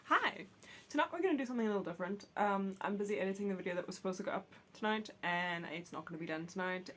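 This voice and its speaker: Chatty woman with a slight cold. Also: on the low side. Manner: chatty, candid, restarts and swears casually. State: has a cold, a bit phlegmy. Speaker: vlogger. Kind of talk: casual talk to camera. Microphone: camera mic, reading with books on her lap.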